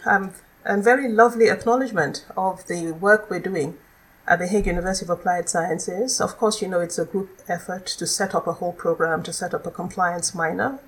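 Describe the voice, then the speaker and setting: Articulate Nigerian woman, low voice. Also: poor audio. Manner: measured, articulate explaining. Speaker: guest, law lecturer. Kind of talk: podcast interview.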